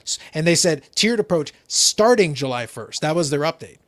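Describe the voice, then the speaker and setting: A man hosting a casual hobby talk show, explaining in an animated way, often repeating a phrase as he starts. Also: on the high side, moderate pace, dry recording. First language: not English. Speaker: podcast host.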